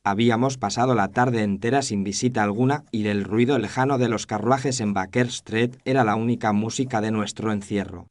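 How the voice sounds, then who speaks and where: Low-voiced male mystery narrator. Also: brisk, few pauses, a little mellow, no echo. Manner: formal, literary storytelling. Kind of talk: scripted first-person mystery narration.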